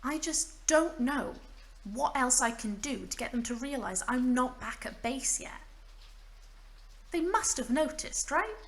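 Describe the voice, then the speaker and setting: A woman's voice: sits high, animated, measured pace. A woman playing a stranded, centuries-lonely mage in an audio drama, wry and bitter yet fragile, rambling in self-mocking asides. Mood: frustrated. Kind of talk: audio drama monologue, naturalistic acting.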